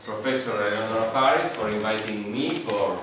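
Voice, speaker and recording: An Italian-accented man lectures in English, pausing often and restarting his sentences. His fairly low voice comes from across a very echoey hall, with faint noise behind it. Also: distant mic. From Italy. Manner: halting, many fillers and restarts. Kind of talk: guest seminar lecture in English.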